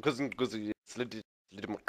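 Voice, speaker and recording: A man in a gaming voice chat who speaks in halting, broken phrases and laughs often. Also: fairly low pitch, steady tone. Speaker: guild member on voice chat.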